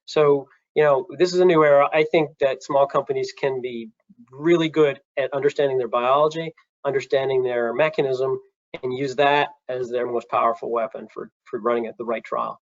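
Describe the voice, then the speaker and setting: A man talks with frequent restarts and fillers on an online panel. His voice sits at a middling pitch and comes through muffled, with a dry, close sound and no room around it. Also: steady tone, no echo. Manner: halting, many restarts and fillers. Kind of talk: panel discussion. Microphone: video call. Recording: muffled video call audio.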